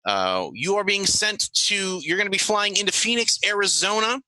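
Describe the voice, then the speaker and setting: A man plays a female government agent running a briefing in a tabletop game. The delivery is halting, wry and businesslike, with a very bright, forceful tone in a dry, close recording. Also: high voice, expressive, no room sound, pitched above the performer's own voice, exaggerated voice, low-quality recording. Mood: mock-official. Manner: halting, dryly joking.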